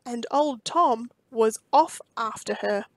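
Casting: female picture-book narrator, high pitch, unhurried, deliberate dramatic emphasis. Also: no room sound. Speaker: children's storybook reader. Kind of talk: expressive read-aloud storytelling.

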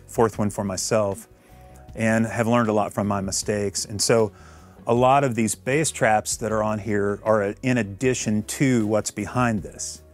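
A man in his fifties from Illinois, giving a relaxed, technical tour of his basement recording studio. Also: lowish voice, unhurried, faint music behind. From Illinois, USA.

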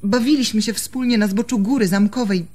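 An actress playing a proud, reproachful young barmaid and jilted fiancée in a radio drama, speaking accusingly and emphatically. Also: female voice, medium pitch, brisk, a little mellow, husky, close to an everyday voice.

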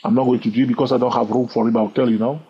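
A man teaching a chart lesson over his screen, animated and full of rhetorical questions, chuckling now and then. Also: medium pitch, racing, breathy edge. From lives in London. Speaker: trading educator. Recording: dull lo-fi sound.

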